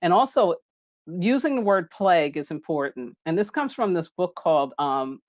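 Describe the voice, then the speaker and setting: A low-pitched woman talks loosely and warmly to her live-stream viewers about astrology. She speaks loudly, often laughs, and jumps high when she gets excited, with her microphone resting on a table. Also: normal speed. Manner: loud voice, mic set down on the table. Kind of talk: casual live-stream talk.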